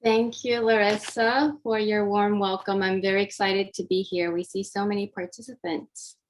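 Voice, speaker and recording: A woman's voice: mid-range voice, little pitch movement, moderate pace. A woman from Japan, an oncology dietitian, giving a friendly, interactive online presentation over a video call, with many fillers and restarts. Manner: friendly, hesitant with frequent fillers.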